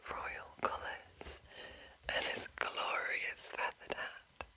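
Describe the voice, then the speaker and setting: A woman speaking in an unvoiced whisper, hushed and deliberate, as an ASMR host reading aloud from a game book. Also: low-quality recording. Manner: hushed, slow, deliberate enunciation.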